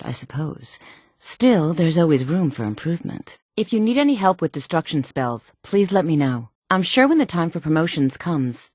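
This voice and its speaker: A self-assured fantasy game woman with a low, smooth, sultry voice. She speaks with poise and a teasing, condescending air, flirtatious and sure of her own worth. The recording is dry, with no room sound. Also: warm tone, no room sound, heavily acted. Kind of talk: fantasy video game character dialogue.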